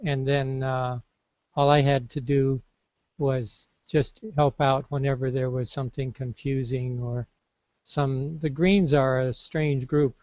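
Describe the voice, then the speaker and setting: Interviewer: easygoing man, slow delivery, dull muffled recording. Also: medium pitch, little pitch movement, darkish tone, no echo. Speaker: interviewer, facilitator. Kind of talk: casual interview chat.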